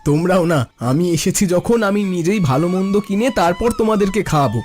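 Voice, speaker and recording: Man voicing a high-pitched boastful city-returned son. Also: lively intonation, brisk, a little mellow. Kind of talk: Bengali cartoon voice acting. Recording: music bed behind the voice.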